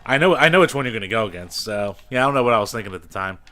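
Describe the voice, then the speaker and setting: A man's voice: on the low side, lively intonation, racing, a bit bright. A man co-hosting a podcast, bantering casually about games in a profane, self-mocking way. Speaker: podcast co-host.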